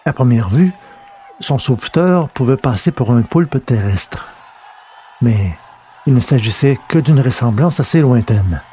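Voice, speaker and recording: A man's voice: medium pitch, lively intonation, a little slow, dark tone, a little hiss or hum. A man reading a scripted science fiction adventure as narrator.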